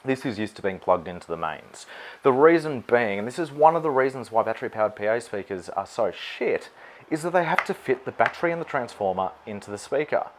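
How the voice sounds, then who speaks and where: A man's voice: mid-range voice, animated, a little fast, slight background noise. A man with an Australian accent giving an unscripted, informal product explainer in a conversational way. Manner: informal, conversational, mildly sweary. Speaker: wedding celebrant presenting his gear.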